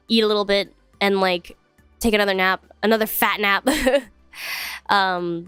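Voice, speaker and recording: A woman's voice: mid-range voice, a bit bright, clear, resonant tone, dry recording. Chatty congested young woman streamer. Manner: chatty, drifts between topics, frequent fillers. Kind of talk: casual chat with viewers. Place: home. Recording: dry close mic, faint music behind.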